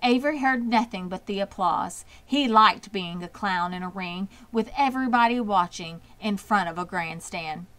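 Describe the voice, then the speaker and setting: A woman reading a children's storybook aloud as an expressive narrator. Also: medium pitch, normal speed, few pauses. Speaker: audiobook reader.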